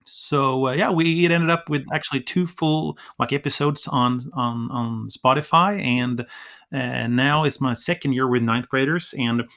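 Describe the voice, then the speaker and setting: A man's voice: mid-range voice, measured pace, fairly bright tone. A Swedish man speaking English as a second language, a teacher chatting in an interview, often restarting his sentences. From Sweden. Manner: restarts a lot, acts out classroom dialogue. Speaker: ESL teacher, podcast guest. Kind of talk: casual interview conversation.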